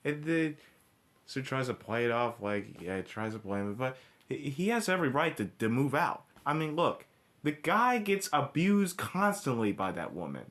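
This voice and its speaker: A man with a medium-pitched voice delivers an informal, opinionated review rant. He is blunt and swears freely. His delivery is halting, circling back and restarting phrases as he goes. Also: big pitch swings, fairly bright tone. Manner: halting, with repeated restarts and backtracking; blunt, heavily profane. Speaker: cartoon episode reviewer. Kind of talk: informal ranting commentary.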